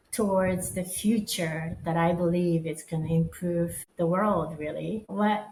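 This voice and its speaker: Woman, low voice, echoey room. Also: a little mellow. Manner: thoughtful, frequent affirming murmurs. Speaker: guest, design firm co-managing director. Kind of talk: reflective podcast conversation. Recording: echoey room with faint background noise.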